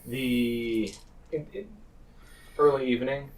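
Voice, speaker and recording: A man narrating a tabletop game as game master, improvising descriptions in a halting way. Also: mid-range voice, fairly even intonation, far from the mic, rough recording.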